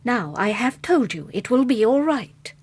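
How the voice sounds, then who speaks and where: Brisk, domineering Victorian mother. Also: a little high, big pitch swings, a little mellow, husky, heavily acted. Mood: bossy insistence. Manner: firm, bossy, brisk. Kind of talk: period radio drama acting.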